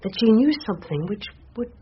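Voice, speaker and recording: A woman's voice: medium pitch, animated, fairly quick, lightly acted. An actress playing a curious, earnest young woman in a radio drama. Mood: puzzled, concerned. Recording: dull lo-fi radio sound, noisy.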